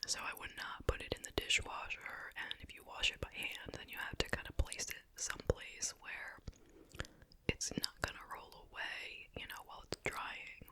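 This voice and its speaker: A woman whispering softly and breathily in a relaxed, rambling, conversational ASMR style, as the host of an eating video. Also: moderate pace. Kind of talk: whispered ASMR chit-chat, eating show. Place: at home in a residential house.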